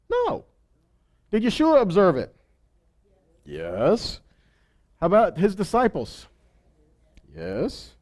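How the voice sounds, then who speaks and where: Male Bible teacher, high register, highly varied intonation, emphatic rhetorical questions and repeated phrases. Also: a little mellow. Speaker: preacher teaching scripture. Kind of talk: teaching sermon with rhetorical questions and scripture reading.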